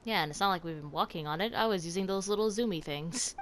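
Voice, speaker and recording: A woman's voice: lowish voice, quick pace, a little hiss or hum. A woman streamer giving casual reaction commentary while playing a video game. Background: game music.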